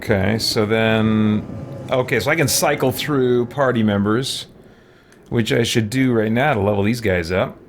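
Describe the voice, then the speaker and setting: A man's voice: fairly low pitch, measured pace, background noise. A man hosting a let's play, giving casual commentary and thinking aloud as he plays. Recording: faint game ambience behind voice. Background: faint game ambience.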